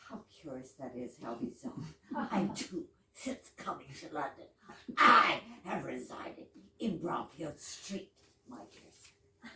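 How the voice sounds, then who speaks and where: A woman's voice: sits low, sing-song, slow, pushed and bright, full character voice. A woman acting a genteel wife amazed by coincidences in an absurdist comedy stage reading, exaggerated and exclamatory. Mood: bewildered delight. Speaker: amateur readers theater actor. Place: live stage reading in a room. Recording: echoey room, distant mic with noise.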